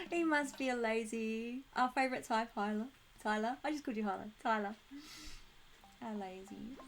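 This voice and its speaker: A woman with a slightly croaky throat chatting casually and bubbly to viewers while live streaming a game, affectionate and quick to giggle. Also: fairly high pitch. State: croaky throat, needs clearing. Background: music.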